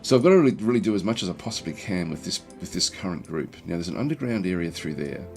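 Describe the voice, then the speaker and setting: Very deep, croaky male game streamer. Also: big pitch swings, darkish tone, soft background music. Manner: halting, thinking aloud. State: croaky throat, recovering from feeling unwell. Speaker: livestream host playing a strategy game. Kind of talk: casual game commentary, reading and answering chat.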